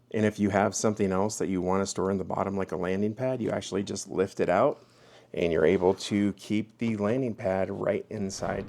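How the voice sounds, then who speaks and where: A man's voice: low voice, gravelly, darkish tone. A man giving a casual, hands-on product review as the host of his own video.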